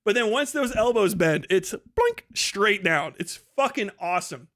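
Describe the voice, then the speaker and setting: A man with a voice pitched a little higher than most men's talks off the cuff as a live streamer. His delivery is animated and enthusiastic. He rambles casually and swears freely as he goes. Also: a little slow. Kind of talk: casual, rambling livestream chat.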